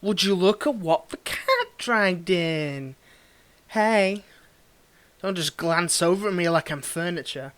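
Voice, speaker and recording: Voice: mid-range voice, lively intonation, slow pace, fairly bright tone. An actor playing a cold, condescending tsundere villain in a dramatic audio roleplay, taunting and theatrical as they address the listener directly. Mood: indignant, mocking. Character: cold, mocking tsundere villain, grudgingly tending a wounded hero.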